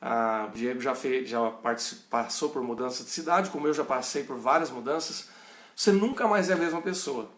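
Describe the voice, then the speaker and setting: A man's voice: mid-range voice, expressive, fairly quick, a bit bright, reverberant. Man with a caipira Brazilian accent. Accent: rural São Paulo and Minas Gerais Brazilian. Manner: conversational, checks in with listeners often. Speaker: pastor teaching a Bible study. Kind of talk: informal teaching answering viewers' questions.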